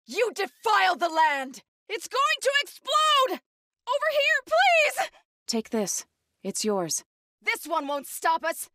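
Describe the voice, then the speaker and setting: Intense, bright-voiced young warrior woman. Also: very high-pitched, wildly swooping pitch, slow, no room sound, clean studio sound, full character voice. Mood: earnest, alert, then grim, determined. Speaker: video game voice actor. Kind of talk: scripted game combat barks and companion lines.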